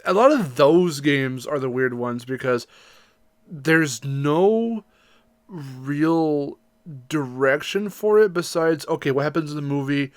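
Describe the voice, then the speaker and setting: A man's voice: fairly high pitch, expressive, slow pace, no echo. Casual rambling man, dry close sound. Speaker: podcast co-host. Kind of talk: casual podcast discussion.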